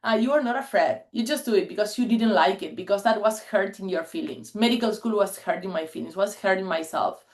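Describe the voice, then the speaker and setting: A woman in her forties, a native Spanish speaker, talks to an online meeting through a video call mic. Her pitch sits in an ordinary middle range. She tells her stories in an earnest, chatty way and laughs often. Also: a little fast, few pauses. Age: 40s. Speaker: guest speaker.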